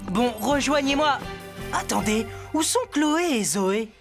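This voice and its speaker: A woman's voice: high-pitched, expressive, slow pace, exaggerated voice. A woman voicing a bossy, puzzled teenage boy in a French cartoon dub, with insistent, exclamatory delivery. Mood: impatient. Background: background music.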